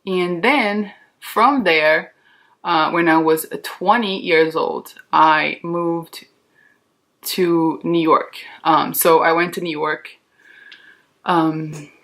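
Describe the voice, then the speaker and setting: A woman's voice: sits low, fairly even intonation, drawn-out words, a bit bright. A woman in her thirties from Brazil, a Portuguese speaker, chatting in a candid, reassuring, informal way like a beauty vlogger. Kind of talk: casual chatty makeup tutorial.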